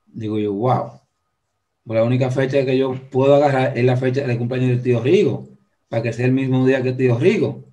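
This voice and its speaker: A Dominican man hosts an interview over a video call, his voice sitting at an ordinary middle pitch. He talks in a loose, rambling, conversational way, drifting into personal stories between questions. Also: fairly quick, warm tone, muffled recording. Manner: chatty, rambling storyteller. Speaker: host. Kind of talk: casual interview chat. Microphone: video call, earphone mic.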